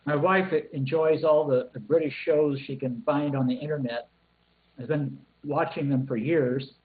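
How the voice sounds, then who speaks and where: A man with a somewhat high voice tells an anecdote in a relaxed way. The call audio is narrow and tinny. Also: normal speed. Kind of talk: casual video call chat.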